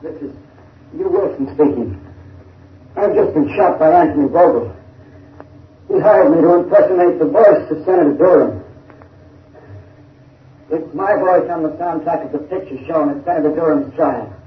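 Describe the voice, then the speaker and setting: An actor playing a dying man confessing on a phonograph record, halting and strained, in 1930s radio drama style. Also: fairly high pitch, a little hiss or hum, lightly acted. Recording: tinny, distant, echoey old phonograph sound.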